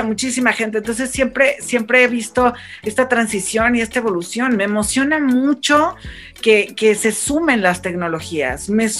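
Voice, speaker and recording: A woman's voice: fairly high pitch, fairly bright tone. A woman with a Mexican accent talking effusively and emphatically as a guest in a conversational radio panel.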